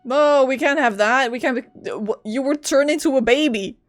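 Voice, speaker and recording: A woman streamer giving casual gameplay commentary, chatty and quick to exclaim. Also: sits high. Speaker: gaming streamer.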